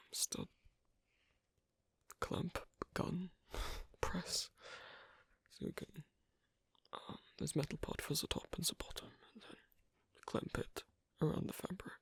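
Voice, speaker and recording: Someone voicing a breathy, half-whispering asocial werewolf. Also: medium pitch, dry recording, clean studio sound, exaggerated voice. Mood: gentle, hesitant. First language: not English. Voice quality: breathy, husky. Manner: halting, terse, quiet instructions with clipped grammar. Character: asocial, awkward werewolf teaching sewing on a date. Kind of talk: ASMR roleplay, softly half-whispered.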